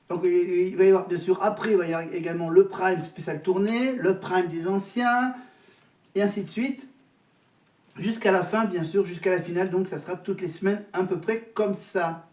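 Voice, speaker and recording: A man's voice: high-pitched, darkish tone, far from the mic. A man vlogging in casual chat to his viewers, hesitant and often restarting his words. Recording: echoey room, voice from off mic.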